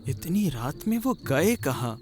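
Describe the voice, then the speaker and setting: A man's voice: on the high side, sing-song, unhurried, music in the background. A man playing an anxious, polite young traveller in a cartoon horror story, hesitant and questioning. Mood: worried. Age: young adult. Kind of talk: acted Hindi cartoon horror dialogue.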